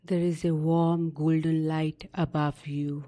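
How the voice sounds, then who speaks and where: A woman narrating a guided sleep meditation in a soothing, unhurried manner with long pauses between short instructions. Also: deep voice, little pitch movement, warm tone.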